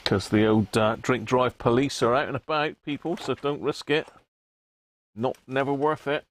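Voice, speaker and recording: A man's voice: mid-range voice, steady tone, normal speed, a little hiss or hum. A British man hosting a livestream, chatting casually and colloquially as he thinks aloud. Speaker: livestream host. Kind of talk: casual rambling chat to live viewers.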